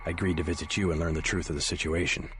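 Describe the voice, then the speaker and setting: A man playing a serious American government agent in a video game, terse and deadpan. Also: sits low, very fast, soft background music. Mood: earnest. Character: stoic young American male government agent, serious and clipped.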